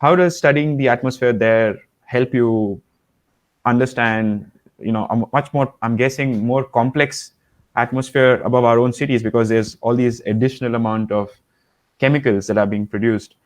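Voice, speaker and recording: A man's voice: medium pitch, fairly even intonation, moderate pace. A man hosting an interview over a video call, asking enthusiastic, rambling questions with fillers. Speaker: host. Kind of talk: podcast interview.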